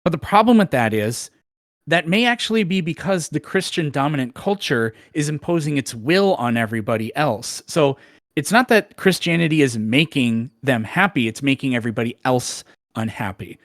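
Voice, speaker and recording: A man's voice: fairly high pitch, lively intonation, normal speed, no echo, clean studio sound. A man who debates, answering callers in a casual call-in discussion with loose, filler-heavy reasoning.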